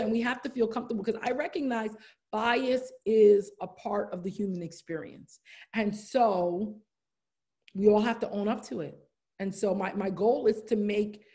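A woman in her thirties, raised in the United States, leading an online diversity training and answering chat questions in an earnest, encouraging way. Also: on the high side. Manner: earnest, warm, repeats "wonderful" and "right?". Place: indoors, room with brick wall. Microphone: laptop webcam, video call.

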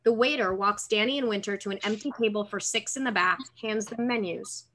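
A woman with a bright, crisp-edged voice at middle pitch. She reads screenplay directions as narrator for a table read held on a video call. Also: fairly quick.

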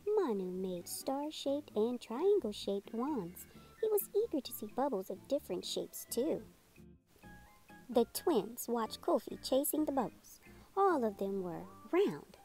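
A woman narrating a children's read-aloud in an expressive, deliberate storytelling manner. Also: fairly high pitch, poor audio. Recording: music behind the voice. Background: music.

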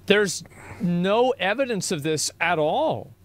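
A man's voice: high voice, animated, slow pace. A man streaming live, reacting in an incredulous, questioning way.

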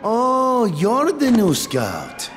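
A man's voice: extremely high pitch, extremely slow, full character voice. An actor playing a blunt, sardonic middle-aged male quartermaster in a game, with music behind. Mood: wry. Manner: wry, blunt, lively delivery.

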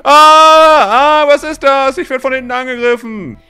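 Man playing a high, bright, urgent paramedic. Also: measured pace, exaggerated voice. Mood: panicked. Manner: urgent, insistent, exclamatory. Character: bossy, fretful male paramedic rushing to help. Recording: music behind the voice.

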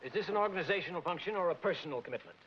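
An actor playing a clipped, officious, middle-aged club treasurer in a 1940s film comedy. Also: male voice, high voice, lively intonation, very fast, a bit bright. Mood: prim. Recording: dull, noisy 1940s film soundtrack.

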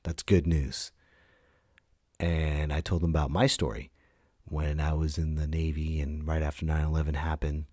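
A man's voice: extremely low pitch, flat delivery, normal speed, warm tone, breathy edge, no room sound, studio quality. A man in his late thirties hosting a solo podcast, ranting and telling stories casually in a blunt, emphatic manner. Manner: emphatic, blunt, swearing, acts out quoted lines. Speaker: podcast host.

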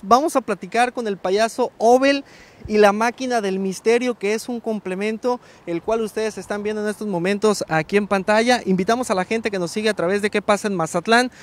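A man in his thirties hosting a street interview by the sea, joking and upbeat, speaking into a handheld microphone. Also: high-pitched. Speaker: reporter and host. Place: seaside street outdoors in sunshine.

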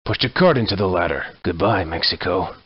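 An actor voicing a gruff, sardonic gunslinger sheriff for a video game, with terse, wry tough-guy one-liners in a husky voice. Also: deep voice, lively intonation, a little fast, lo-fi sound. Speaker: voice actor for a game mod protagonist.

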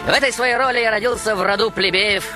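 A man acts out a grandiose Baroque composer recounting his own life as a radio show monologue. The voice sits fairly high and is dramatic and ironic, with pointed pauses. Music plays prominently underneath. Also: steady tone, lightly acted. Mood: dramatic storytelling.

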